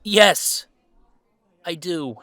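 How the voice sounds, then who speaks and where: A man playing a stubborn, passionate young werewolf son in an audio drama, speaking emphatically and forcefully. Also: high-pitched, very slow, no room sound, full character voice. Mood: angry defiance.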